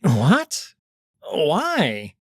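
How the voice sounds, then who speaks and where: A man voicing a smug, composed jackal nobleman with a formal, measured way of talking. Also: a little high, huge pitch swings, slightly rough, no room sound, clean studio sound, exaggerated voice. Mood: startled.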